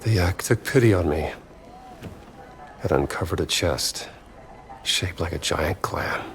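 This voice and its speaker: An actor with an American accent playing a gruff, wry 1930s adventurer-archaeologist in a game cutscene. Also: male voice, low voice, lively intonation, a little slow, soft background music, full character voice. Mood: measured storytelling. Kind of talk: cinematic game cutscene acting.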